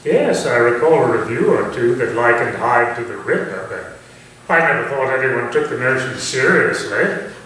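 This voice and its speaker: A man playing an urbane, theatrical Victorian gentleman with cultivated, deliberate phrasing in a staged reading. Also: mid-range voice, lively intonation, natural voice. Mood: intrigued. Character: Sir Walter, urbane Victorian gentleman, theatrical, eagerly intrigued. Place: echoey room or small theatre, staged reading. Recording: very echoey, distant stage recording, faint noise.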